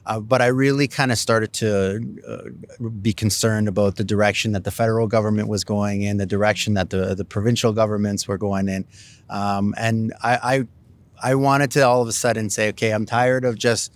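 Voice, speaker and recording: A man's voice: lowish voice, fairly even intonation, normal speed, no echo. A middle-aged Canadian man, a city council candidate, answering interview questions in a rambling way with many fillers and restarts.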